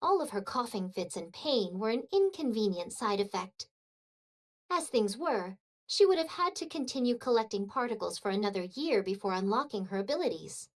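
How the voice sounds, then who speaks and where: A woman playing a loyal, formal and dryly vengeful royal retainer in a video game, with measured, courtly diction. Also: mid-range voice, very animated. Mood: haughty, assured.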